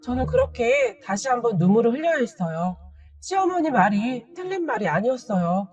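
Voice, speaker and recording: A woman narrating a first-person family story in a gentle, earnest and polite manner for a story channel. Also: on the high side, big pitch swings, brisk, far from the mic, dull audio.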